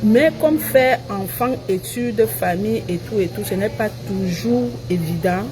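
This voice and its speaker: A woman's voice: medium pitch, a little slow, low-quality recording. A woman giving a self-assured, emphatic live-stream monologue, repeating phrases to drive them home.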